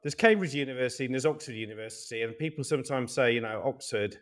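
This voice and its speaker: A man who hosts the interview, playful and quick to cut in with questions. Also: medium pitch, lively intonation. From born in Zambia, raised as a Yorkshireman. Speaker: host. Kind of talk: casual interview chat.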